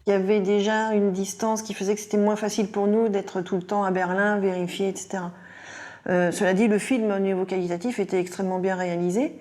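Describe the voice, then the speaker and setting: Female interviewee, medium pitch, conversational storytelling. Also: flat delivery, fast talker, a little mellow.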